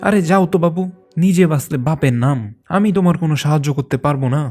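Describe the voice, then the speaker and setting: A man's voice: a little high, fast, a little mellow, soft background music, lightly acted. A man voicing a greedy, self-pitying woodcutter in a cartoon folk tale. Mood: dismissive. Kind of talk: animated folk-tale dialogue.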